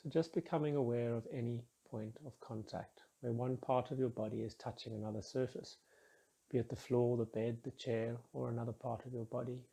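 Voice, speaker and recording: A man leading a guided meditation in a soft, husky voice, calm and soothing, with measured instructions and gently falling phrase endings. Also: medium pitch.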